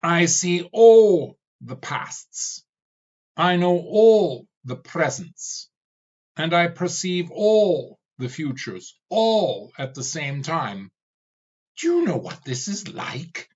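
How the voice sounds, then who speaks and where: A booming, all-seeing demon king, weary of eternity and raging at existence, as a man puts him on. His voice rides well above the reader's own, swinging widely as he drags out each proclamation. The storybook recording sounds dull and lo-fi. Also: big pitch swings, slow pace, fairly bright tone, husky, full character voice. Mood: vehement.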